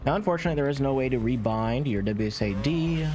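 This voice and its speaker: A man's voice: medium pitch, expressive, fast, a little mellow, a little hiss or hum. A man giving casual gameplay commentary as a channel host, conversational and thinking aloud. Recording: game music clearly behind voice. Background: game music.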